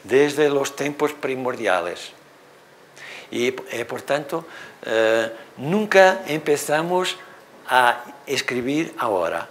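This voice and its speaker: A middle-aged man with a Portuguese accent, speaking Spanish as a second language, gives an animated university seminar lecture in a hall on a lapel mic, with many fillers. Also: medium pitch, slow, slight background noise. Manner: animated, gestures at projected slides, thinks aloud with many fillers. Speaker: literature professor.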